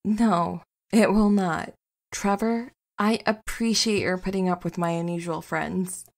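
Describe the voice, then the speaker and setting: A woman playing a warm, wry, loyal partner in a naturalistic comedy audio drama, teasing with a deadpan touch. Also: lowish voice, a little slow, vocal fry. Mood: wry fondness.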